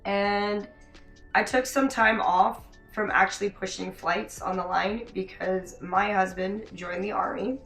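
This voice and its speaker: A young woman with a raspy, hoarse voice, telling a rambling story in a casual vlog. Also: mid-range voice, fairly bright tone. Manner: chatty, laughing, many likes and restarts. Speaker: vlogger. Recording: roomy echo, faint music behind.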